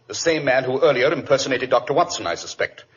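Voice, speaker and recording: An actor playing a confident, urbane British detective with a precise, theatrical manner in 1940s radio drama style. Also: male voice, medium pitch, fast, husky, natural voice. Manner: precise, theatrical, self-assured. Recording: dull vintage radio recording with hiss.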